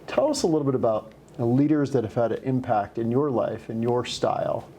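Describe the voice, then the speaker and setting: A man leading a corporate interview, asking questions in a measured, encouraging way. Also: mid-range voice, mellow, a little hiss or hum. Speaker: company leader interviewing a colleague.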